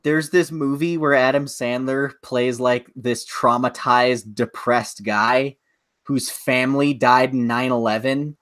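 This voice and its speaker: A man's voice: medium pitch, steady tone, a little slow, a bit bright, smooth. A man co-hosting a podcast in casual chat, ranting loosely with swearing and plenty of filler words. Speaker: podcast co-host.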